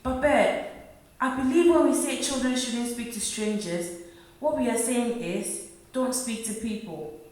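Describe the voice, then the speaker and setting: A woman's voice: fairly high pitch, unhurried, a little mellow, natural voice. Woman playing worried mother, very echoey. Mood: grateful, sorrowful. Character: gentle, worried working-class mother. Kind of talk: radio play acting. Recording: echoey room, far from mic.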